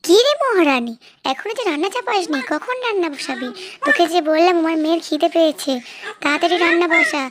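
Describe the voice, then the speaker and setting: Woman acting a shrill, scolding stepmother, noisy recording. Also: sing-song, quick pace. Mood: angry, threatening. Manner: scolding, threatening, loud. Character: cruel, bullying stepmother. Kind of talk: cartoon voice acting.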